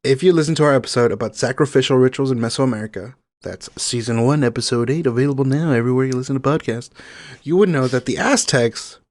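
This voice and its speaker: A man co-hosts a comedy podcast in a mid-pitched voice, recorded close and dry. He banters playfully, draws words out for emphasis and slips Spanish phrases in. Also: fast, darkish tone, dry recording. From Mexico. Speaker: podcast co-host.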